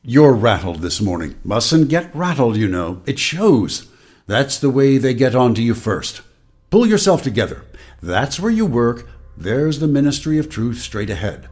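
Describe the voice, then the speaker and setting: A man's voice: medium pitch, sing-song, unhurried, darkish tone. An actor playing a man's urgent, warning inner voice in a radio drama, giving clipped, bossy commands. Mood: urgent, scolding. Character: Winston's inner voice, urgent, warning, needling male conscience.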